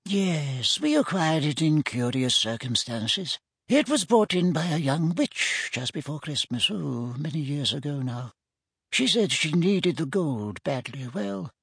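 A little old male shopkeeper, as a man reading an audiobook puts him on, greedy and self-satisfied. The voice sits raised and slightly rough, rambling along in an offhand, chatty way, in a dry close recording. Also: a little high, a bit bright, dry recording, voice pushed up, full character voice. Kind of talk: audiobook character voice.

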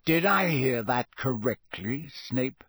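Man acting gruff old wizard veteran, growling, slow, needling, menacing, clean dry audiobook sound. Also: medium pitch, sing-song, a bit bright, no echo. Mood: suspicious, needling.

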